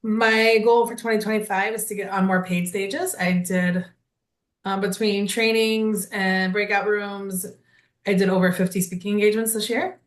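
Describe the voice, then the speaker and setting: A woman from Wisconsin introduces her coaching business on a video call, upbeat and direct. Her voice has an ordinary middle pitch. The room adds a clear echo around her. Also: fairly even intonation, moderate pace. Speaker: leadership coach.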